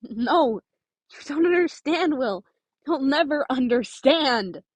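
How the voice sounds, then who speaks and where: A woman's voice: high voice, lively intonation, takes it slowly, dry recording, pitched above the performer's own voice. A woman voicing a brooding, defensive teenage boy who is bitter and emotional in a story reading. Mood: upset, yelling.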